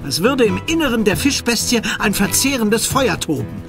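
Male narrator, fairly high voice, dramatic suspense storytelling for a radio play, clear music underneath. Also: very animated, moderate pace. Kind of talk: scripted suspense narration for children's audio drama.

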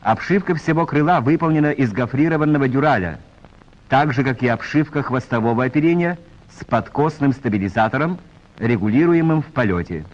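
A male narrator heard through a dull, muffled old film soundtrack. He reads technical script in the formal manner of a 1940s announcer. His delivery is measured, at a middling pitch. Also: animated. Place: voice-over recorded for a film.

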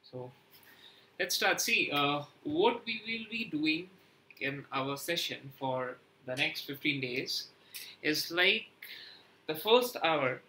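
A man leads an online class over a video call, in a loose, chatty way with plenty of okays and uhs. His voice is very bright and a little high for a man. A faint hiss sits under the call. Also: poor audio. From West Bengal, India. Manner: loose and conversational, many fillers like okay and uh. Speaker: online course mentor. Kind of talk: online class intro and lecture.

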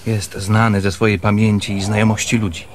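Actor as an earnest, low-voiced messenger. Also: male voice, flat delivery, fairly quick, background noise, lightly acted. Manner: earnest, detailed, explanatory. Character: earnest, eager male messenger making his report. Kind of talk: Polish radio theatre acting.

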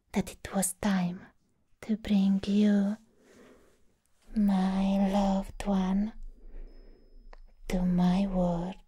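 A young woman performing a hushed, whispered ASMR roleplay as a sweetly possessive living doll, pausing deliberately, close to the microphone. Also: mid-range voice. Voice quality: breathy whisper. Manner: slow, hushed, deliberate, with long pauses.

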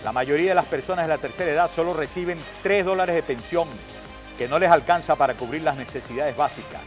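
A man's voice: on the high side, fast, soft background music. A middle-aged man with a Venezuelan accent hosting a news show, giving indignant, sarcastic commentary and emphatic rhetorical questions as he reads out reports. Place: TV studio.

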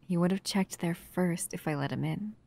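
A woman playing a protective, upset human lover in an ASMR roleplay, stern yet often softly whispery. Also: lowish voice, moderate pace. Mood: worried, tender. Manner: soft, breathy near-whispers mixed with stern scolding.

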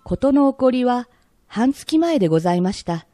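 A woman narrating an old Edo tale for a radio drama in polite, measured storyteller phrasing. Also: a little high, very animated, warm tone, no echo, clean studio sound, soft background music.

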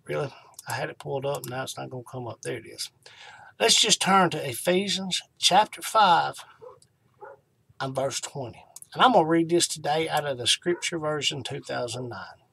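Middle-aged man, higher-pitched voice, informal devotional talk. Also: lively intonation, normal speed, vocal fry, pushed and bright, slight background noise. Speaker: online ministry host.

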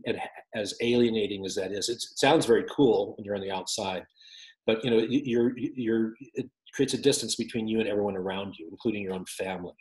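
A man in his fifties, an author guest, answering interview questions on a video call with many restarts and fillers. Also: lowish voice. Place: home room with windows. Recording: roomy echoey call audio.

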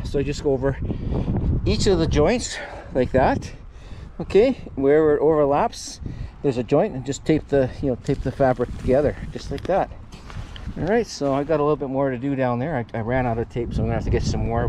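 A man with a dark, relaxed, mid-pitched voice walks through a DIY repair in a casual, folksy, halting way. He is recorded outdoors on an action camera, with faint noise behind him. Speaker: host of DIY renovation channel. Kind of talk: casual hands-on repair tutorial. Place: outdoors under a raised mobile home.

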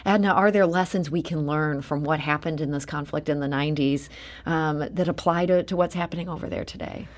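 A woman hosting a public radio interview, asking questions with a lively, rising delivery. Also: low-pitched, a little fast. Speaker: radio host.

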